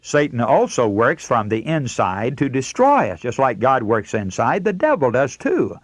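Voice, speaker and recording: An American man delivers a radio sermon in a close, dry sound. His pitch moves in lively swings as he teaches with earnest emphasis, setting out points and scripture like a seasoned broadcast preacher. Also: moderate pace, no room sound.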